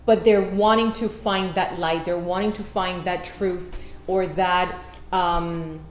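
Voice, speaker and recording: A woman asks long, winding interview questions, circling back and restarting as she goes. Her voice sits fairly low, and the room rings with echo. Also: fairly even intonation, slow pace. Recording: echoey room with faint noise.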